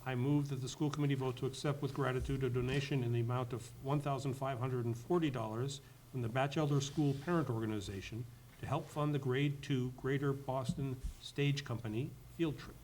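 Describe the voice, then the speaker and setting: A man's voice: mid-range voice, fairly even intonation, fairly quick, darkish tone. A man on a school committee formally reading out motions at a public meeting. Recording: noisy public meeting room recording.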